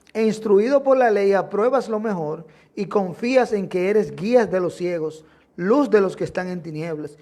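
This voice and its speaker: A man's voice: sits high, a little mellow. A man, a pastor with a Dominican accent, preaching a sermon in an emphatic, repetitive cadence. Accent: Dominican Spanish. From Dominican Republic.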